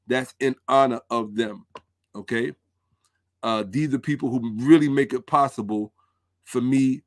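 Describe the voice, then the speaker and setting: A man hosts a live commentary and talks directly to his viewers. He is emphatic and repeats phrases for effect. His voice sits at a middle pitch in a close, dry recording. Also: dry recording. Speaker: host of a commentary livestream.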